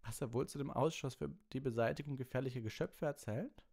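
Man putting on a high, sneering schoolboy bully. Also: brisk, gravelly, a little mellow. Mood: sneering, mocking. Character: sneering, bullying teenage schoolboy, easily rattled.

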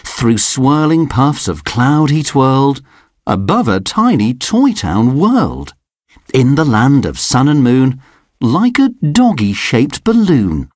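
Brief: slow, sing-song bedtime story reader with a breathy voice. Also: mid-range voice, sing-song, a little mellow, dry recording, studio quality. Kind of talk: children's picture book read-aloud.

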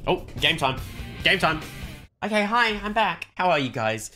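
A young adult man chatting excitedly on a gaming livestream, tossing out short repeated catchphrases. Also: fairly high pitch, moderate pace, soft background music. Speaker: game streamer.

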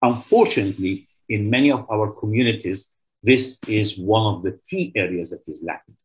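A man's voice: fairly low pitch, moderate pace, rough recording. An older man with an Egyptian accent, giving a formal medical lecture as a professor and stressing his key words. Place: indoors, remote video presentation. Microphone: video call.

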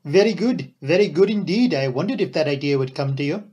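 A man reading an audiobook, voicing a dapper, excitable foreign detective with emphatic, courteous, quaint phrasing. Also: a little high, a little fast, husky. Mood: energetic, reasoning. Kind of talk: audiobook character dialogue.

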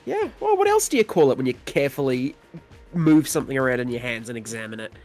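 A man's voice: medium pitch, very animated, fast talker, a little mellow, faint music behind. Slightly stuffy-nosed man chatting over gameplay. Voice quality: slightly stuffy, sniffly nose. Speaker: guest co-commentator. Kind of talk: casual joking chat over gameplay.

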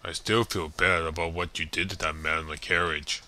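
Guy doing a dopey earnest swordsman sidekick for an anime parody, low but very sharp voice. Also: steady tone, normal speed. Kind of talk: parody anime fan dub.

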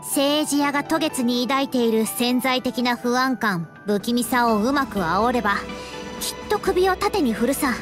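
A shrewd young female strategist from a video game. Her voice is high and lively, with confident, boyish phrasing. Music runs clearly behind it. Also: a little slow. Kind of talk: Japanese video game voice acting.

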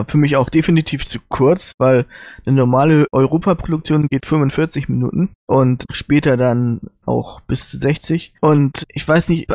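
A man takes part in a casual podcast discussion. His voice is fairly high for a man with a lot of creak, and it is recorded dry. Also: darkish tone, no echo. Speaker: panelist on a review podcast.

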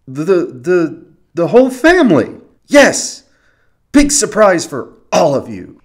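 A man's voice: fairly high pitch, huge pitch swings, heavily acted. Man playing a whiny, wildly swooping resentful dad. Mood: flustered. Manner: melodramatic, whiny, halting. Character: whiny, resentful, insecure divorced father. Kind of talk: fan-made cartoon audio drama.

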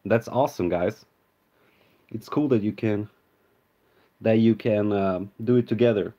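A man's voice: on the low side, unhurried, lo-fi sound. A man from Sweden with a Swedish accent tinged with American, casually chatting on a live stream, greeting viewers and naming cards as he opens them. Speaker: card-opening streamer. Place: indoor room with card display.

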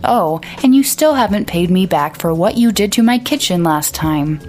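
A woman voicing an irritable, scornful young man who scolds his sister, pitched a little above her narration, with faint music behind. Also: expressive, normal speed, a little mellow, natural voice.

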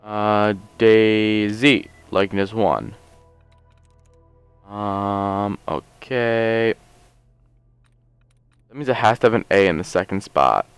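Low, unhurried, slightly hoarse male gamer. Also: no room sound, faint music behind. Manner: rambling, jokey, thinks aloud. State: hoarse, losing his voice. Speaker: let's play host. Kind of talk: casual gameplay commentary.

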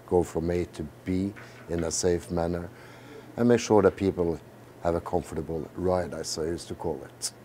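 A man, a ship's captain, calmly explaining his job and the steering on the bridge. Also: low voice, a little hiss or hum. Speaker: ship captain. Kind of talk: relaxed explanation.

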